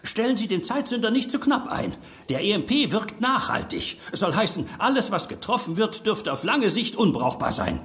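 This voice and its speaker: Sci-fi doc guy, highish voice, very lively pitch, precise explainer, radio play. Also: normal speed. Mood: cautioning. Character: amiable absent-minded male scientist doctor. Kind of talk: German radio play acting.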